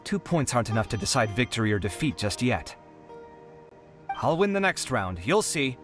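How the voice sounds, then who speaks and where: A man voicing a dramatic, proud young man in a video game, theatrical and expressive. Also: mid-range voice, moderate pace, music in the background, lightly acted. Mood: determined. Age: young adult.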